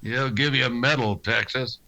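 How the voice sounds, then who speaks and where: A man playing a tough, reserved frontier marshal in a western radio drama, speaking in a terse, deliberate way. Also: medium pitch, steady tone, creaky, pushed and bright, low-quality recording. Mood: curt.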